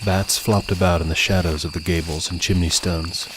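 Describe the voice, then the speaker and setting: A man's voice: deep voice, fairly even intonation, normal speed, background noise. A man reading scripted fiction as a dramatic audiobook narrator. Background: music.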